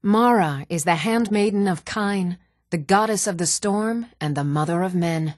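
A woman playing an even-toned fantasy game townswoman. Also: lowish voice, normal speed, no room sound, clean studio sound, lightly acted. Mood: solemn, kindly. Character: even-toned fantasy townswomen: earnest mother, priestess, steward. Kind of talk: scripted video game voice acting.

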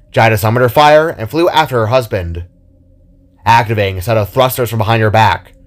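A man with a fairly low voice narrates a fantasy story. His delivery is scripted and dramatic, in the manner of an audiobook. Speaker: author and narrator.

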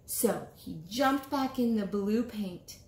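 Woman, storytime reader, very slow delivery, sing-song, questioning listeners, roomy echo. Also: mid-range voice, a little mellow. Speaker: children's author reading a picture book aloud. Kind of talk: animated read-aloud storytime for young children. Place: small indoor room, seated before a bulletin board. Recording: roomy small-room echo.

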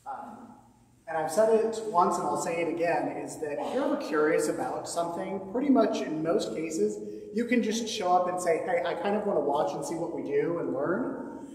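Joking priest in an echoey church. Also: male voice, fairly high pitch, normal speed. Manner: relaxed, joking, rambling. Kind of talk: church announcements. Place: large church sanctuary. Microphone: far camera, handheld mic at times. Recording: echoey hall from far off, noisy.

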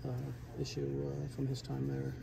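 A man's voice: mid-range voice, monotone, normal speed, gravelly, background noise. A man reporter asking pressing, probing questions. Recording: crowd chatter behind.

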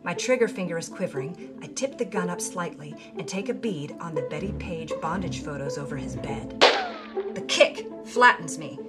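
A woman's voice: on the low side, expressive, moderate pace, natural voice. A woman playing a brash, wronged B-movie starlet from the 1950s, telling her memoir in wry, theatrical first-person narration for an audio drama. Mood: tense, urgent. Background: music.

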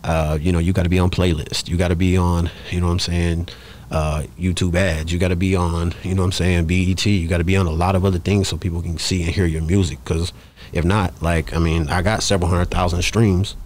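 Guy on a podcast, real deep voice, talks quick, hammers his points over and over. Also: flat delivery, a little mellow, a little hiss or hum. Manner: emphatic, repetitive, peppers talk with "you know what I'm saying". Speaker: interview guest, rapper. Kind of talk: casual interview talk. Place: small podcast studio in a shop. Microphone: close studio microphone on stand.

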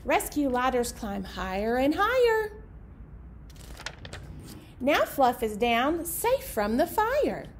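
A woman's voice: sits high, sing-song, slow pace, pauses often, slight background noise. A woman in her thirties hosting a children's storytime, talking and reading aloud in simple, encouraging phrases to young listeners. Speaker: children's librarian, storytime host. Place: indoor library program room.

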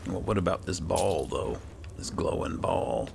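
A man talking in character in an unhurried, muttering way as he plays through a fantasy video game. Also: sits low, darkish tone. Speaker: gamer playing in character. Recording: clearly noisy, game ambience behind.

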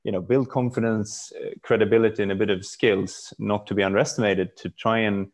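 Low-voiced man, reflective podcast guest. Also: steady tone, fairly quick, a little mellow. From Stockholm, Sweden. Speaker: podcast guest, brand executive. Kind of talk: casual interview talk.

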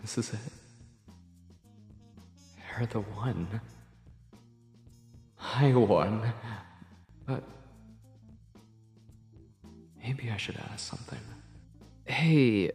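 A man's voice: fairly low pitch, very slow, vocal fry, pauses often, a little mellow, faint music behind, full character voice. A man playing a shy, obsessive lovestruck man on a date in an ASMR roleplay, speaking in a hesitant, intimate, flustered way. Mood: smitten, hesitant.

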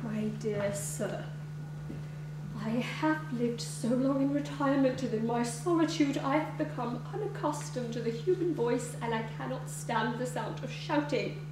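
Primly dignified, formal lady in mourning for stage comedy, played by a woman; distant mic with clear background hum. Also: a little high, unhurried, natural voice. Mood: aloof, pained. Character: haughty, prim, reclusive lady in mourning. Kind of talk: theatrical stage comedy acting. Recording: echoey room, distant mic, noisy hum.

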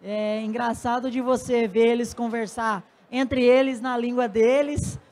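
A man's voice: extremely high pitch, flat delivery, unhurried, darkish tone. A man with a Brazilian accent chatting informally as a guest on a festival stage, speaking into a handheld microphone. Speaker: coffee producer and guest speaker.

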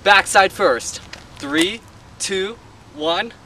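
A man's voice: sits high, very slow, background noise. A young man hosting a jokey product-testing vlog, recorded outdoors on a camera mic. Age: young adult. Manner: playful, jokey, counts down drop tests. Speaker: YouTube host. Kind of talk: comedic product-test vlog. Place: outdoors in a dirt yard.

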